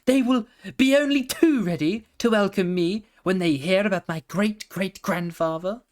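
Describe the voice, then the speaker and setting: A man's voice: sits high, moderate pace, pitched above the performer's own voice, close to an everyday voice. A man voicing a proud, headstrong young male pony in a storybook reading, lofty and eager.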